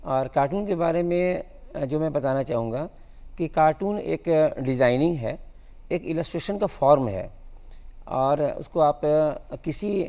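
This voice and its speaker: Gravelly man in his sixties, reverberant hall. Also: fairly high pitch, lively intonation, a little slow, warm tone. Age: 60s. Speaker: guest cartoonist. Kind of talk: interview answers.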